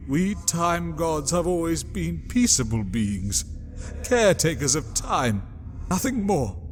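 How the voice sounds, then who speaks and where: A man's voice: a little high, very animated, slow. Man playing an earnest god in reverberant hall. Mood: appalled, pleading. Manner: earnest, pleading. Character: gentle, anxious god of time. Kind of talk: comic book audio drama acting. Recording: long reverberant hall, faint music.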